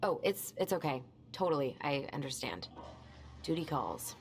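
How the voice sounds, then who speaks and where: A young woman under strain, with a lowish voice. She sounds natural and tired, with an anxious edge, as in a story-driven video game. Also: very animated, normal speed. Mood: apologetic, casual. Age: young adult.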